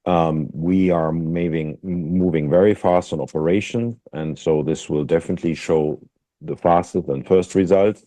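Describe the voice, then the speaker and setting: A man speaking as a company executive, answering questions on an earnings call in a halting way with repeated words. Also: low-pitched, fairly even intonation, dark tone.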